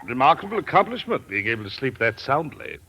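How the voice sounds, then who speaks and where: A man playing a polite, trusting gentleman with formal, precise speech in a vintage radio drama. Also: medium pitch, sing-song, brisk. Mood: composed. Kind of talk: 1940s-50s radio drama acting. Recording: muffled, hissy vintage radio recording.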